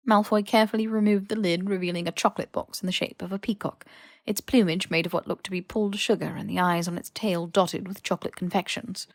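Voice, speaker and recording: A woman's voice: fairly low pitch, fairly even intonation, quick pace, no room sound, studio quality. A woman reading scripted fiction as an audiobook narrator.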